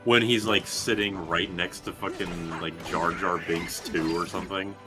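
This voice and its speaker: A man with a quite low voice talks casually through a video game. He rambles and halts as he goes, swearing freely, with prominent music playing behind him. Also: fairly even intonation. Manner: rambling, halting, profane. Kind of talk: casual gameplay commentary.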